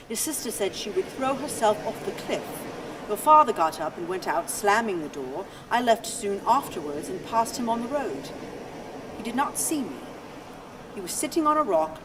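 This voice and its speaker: An actress playing a weary, cool, matter-of-fact wife in a radio play, speaking in measured, detached phrases. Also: female voice, lightly acted. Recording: distant from the mic, noisy ambient rumble.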